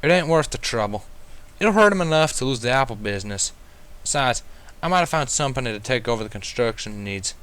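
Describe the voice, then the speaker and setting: A man's voice: medium pitch, lively intonation, brisk, a bit bright, clean tone, close to an everyday voice. A man voicing a friendly, hardworking female farm pony with plainspoken, folksy country phrasing in a storybook reading. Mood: playful.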